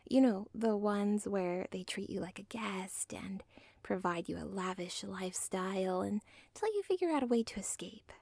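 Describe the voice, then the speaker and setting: A young woman voicing an obsessive villain's daughter in an ASMR roleplay, rambling in soft whispered asides that break the fourth wall. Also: medium pitch, lively intonation, normal speed, creaky, no echo. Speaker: audio roleplay performer.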